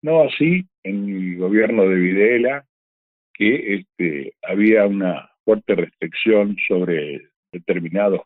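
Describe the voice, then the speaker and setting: An older man with an Argentine accent, a veteran announcer reminiscing in an interview, picking his words carefully and haltingly. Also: medium pitch, no echo. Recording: dry, narrow phone-like line.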